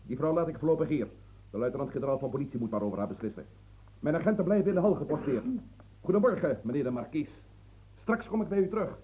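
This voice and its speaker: An actor playing a curt police officer who gives clipped orders, in a radio drama. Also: male voice, fairly high pitch, lively intonation, quick pace, warm tone, a little hiss or hum, lightly acted, low-quality recording. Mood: commanding. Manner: clipped, commanding.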